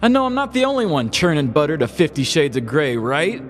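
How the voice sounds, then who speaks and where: Man putting on a swooping, high-voiced brash cougar woman. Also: sing-song, soft background music. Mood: bawdy, bragging. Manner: bawdy, boastful, drawn-out teasing delivery. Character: brash, raunchy middle-aged office cougar woman holding court. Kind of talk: comedic podcast storytelling.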